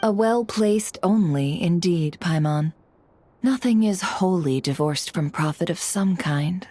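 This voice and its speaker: A woman voicing a poised, sly boss in a video game, measured, teasing and formal in her speech. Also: lowish voice, animated, a little mellow.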